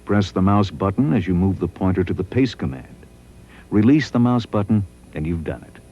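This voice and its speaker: Male narrator, low mellow voice, measured instructional delivery, scripted promo read. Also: lively intonation, husky, highs cut off.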